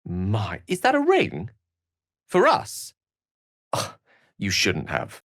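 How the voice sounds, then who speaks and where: Casting: wry, bookish wizard for a fantasy game; lowish pitch, very expressive range, articulate, theatrical, recorded dry. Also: male voice, takes it slowly, no echo, heavily acted. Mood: emotional, pleading. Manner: articulate, theatrical, verbose phrasing. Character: eloquent, wry, scholarly male wizard adventurer. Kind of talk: fantasy video game voice acting.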